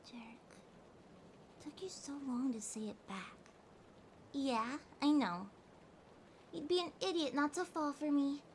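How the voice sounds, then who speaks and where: A needy young cat girl, played by a woman, speaks in a very high voice at an unhurried, drowsy pace. She is pouty and teasing yet affectionate, in an intimate ASMR roleplay style. Soft rain noise sits behind her. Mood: tender, teasing. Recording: steady rain sounds behind voice. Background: rain ambience.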